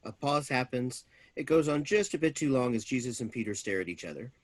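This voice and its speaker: Man reading stage directions, mid-pitched. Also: lively intonation, brisk. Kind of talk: scripted narration at a staged reading.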